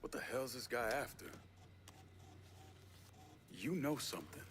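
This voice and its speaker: A man's voice: mid-range voice, very animated, measured pace, a bit bright, a little hiss or hum. An actor playing a tough, street-smart gunman in a video game, blunt and profane. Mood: suspicious, wry. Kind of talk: video game cutscene acting.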